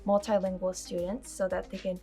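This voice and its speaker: A woman's voice: mid-range voice, little pitch movement, a little fast, warm tone, soft background music. A teenage girl whose first language is Korean, speaking casually in an interview and saying "like" often. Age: teens. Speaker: high school student.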